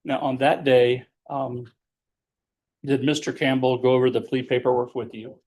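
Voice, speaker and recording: A man working as a defense attorney, questioning his client in court in a measured, explanatory way with leading questions. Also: mid-range voice, flat delivery, darkish tone.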